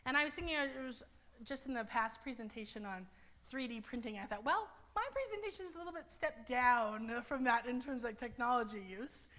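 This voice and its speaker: A woman in her thirties, a university writing instructor, giving a chatty talk full of "um"s and story asides, speaking loudly into a clip-on mic. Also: fairly high pitch, a little fast. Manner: loud, chatty storyteller with many ums. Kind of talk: conference presentation with anecdotes. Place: library presentation room. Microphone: clip-on mic on her skirt.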